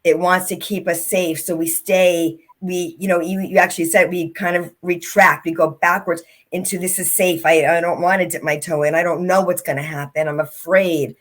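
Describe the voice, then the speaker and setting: A woman's voice: on the low side, fairly even intonation, moderate pace, few pauses. A middle-aged woman, an author and speaker, telling her story as an interview guest in an earnest, reflective way.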